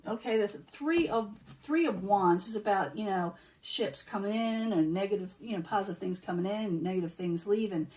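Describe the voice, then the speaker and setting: A woman reading tarot cards in a casual, chatty way, losing her voice and clearing her throat now and then. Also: mid-range voice, normal speed, hardly any pauses, darkish tone, distant mic, poor audio. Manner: chatty, repeats herself, many um fillers. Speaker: tarot reader.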